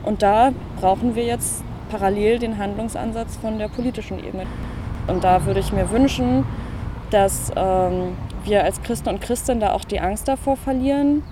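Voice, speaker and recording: A woman's voice: medium pitch, background noise. Earnest woman speaking outdoors. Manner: earnest, argumentative. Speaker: interviewee, church member. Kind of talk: interview answer.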